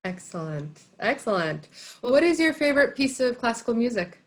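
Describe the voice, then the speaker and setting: A woman's voice: on the high side, very animated, brisk. A woman hosting an interview, friendly and hesitant, with many filler words. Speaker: interview host. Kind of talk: casual interview chat.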